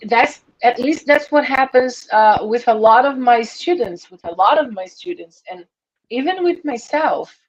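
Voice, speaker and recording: A woman's voice: fairly high pitch, animated, unhurried. A woman from Brazil with a Brazilian accent, an English teacher chatting casually on a live stream and often restarting her sentences. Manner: hesitant, repeats words and restarts. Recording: dull lo-fi stream audio.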